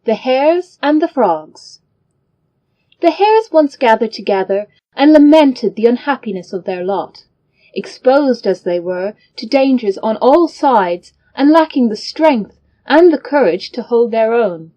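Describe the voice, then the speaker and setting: Lady narrating a fable for learners, soft mellow tone, measured scripted read, slightly muffled lo-fi audio. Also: fairly high pitch, lively intonation. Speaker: story reader.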